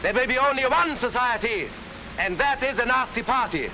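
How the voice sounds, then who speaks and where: A fanatical Nazi street orator with a very high, wildly swooping voice. He declaims hatefully like a demagogue at a rally, in a 1940s film with noise behind him. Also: animated, moderate pace. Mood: hateful, rousing. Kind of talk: 1940s film acting, political rally speech. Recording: noisy, dull 1940s film soundtrack.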